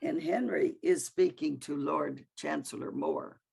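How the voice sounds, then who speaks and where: A woman's voice: low voice, lively intonation, unhurried, vocal fry, a little mellow, breathy edge. A woman reading stage directions as narrator in a scripted play reading.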